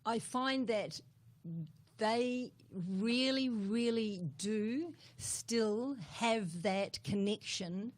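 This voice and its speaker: A woman's voice: a little high, very slow. Chatty middle-aged New Zealand woman. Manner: chatty, with many fillers and repeated words. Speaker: guest. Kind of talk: casual interview conversation.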